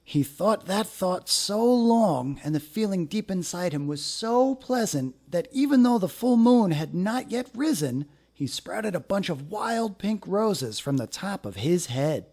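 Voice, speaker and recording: A man narrating a children's story aloud in an expressive, deliberate way with dramatic phrasing. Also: sits high.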